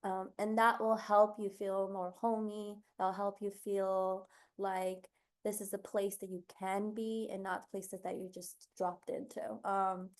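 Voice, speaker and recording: A woman from New York City sharing her experience as a graduate student panelist, earnest and steady, with many fillers. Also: mid-range voice, a little slow. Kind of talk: panel answer on a video call. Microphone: video call.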